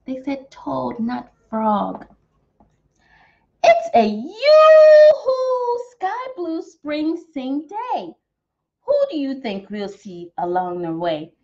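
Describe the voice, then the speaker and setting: A young American woman leads a children's storytime with a high voice that swoops up and down. She draws words out slowly and theatrically. The sound is slightly dull, as from a webcam in a room. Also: huge pitch swings, mellow. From Texas, United States. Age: young adult. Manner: theatrical, exaggerated, sing-song. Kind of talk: animated picture-book read-aloud for young children. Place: small decorated room at home, indoors.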